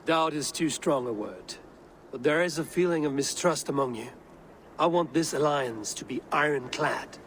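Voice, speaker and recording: An actor playing a stern, principled Viking raider hero who speaks in a blunt, measured way in a video game. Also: male voice, on the high side, fairly bright tone, a little hiss or hum, close to an everyday voice. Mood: resolute. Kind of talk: dramatic video game cutscene acting.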